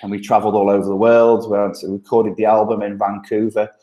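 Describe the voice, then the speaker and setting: A man's voice: on the low side, flat delivery, fast talker, dark tone. Man, thick Midlands accent, casual podcast guest, rambling, laughs a lot, roomy echo. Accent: English Midlands. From Stoke-on-Trent, England. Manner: chatty, laughs often, many ums and restarts. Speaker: guest, agency partnership manager.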